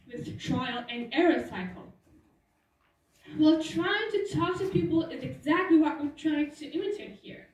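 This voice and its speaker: A teenage girl gives an earnest school talk, explaining things step by step. Her voice sits high, and the sound rings heavily around a large hall. She is picked up from some distance, off the microphone. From Turkey. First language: Turkish. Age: teens. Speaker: student speaker. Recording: echoey hall, voice from far off.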